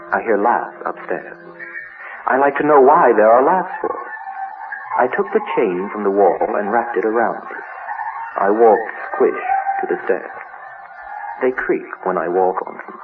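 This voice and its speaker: Low, tinny radio horror-story reader, male. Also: animated, measured pace. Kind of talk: dramatic horror story reading. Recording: tinny old-radio sound, music behind.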